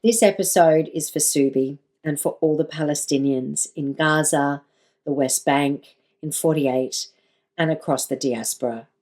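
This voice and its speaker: A woman podcast host speaks in a low, unhurried voice. She reads her intro and asks questions with a measured, deliberate delivery. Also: darkish tone. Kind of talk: scripted intro and interview questions.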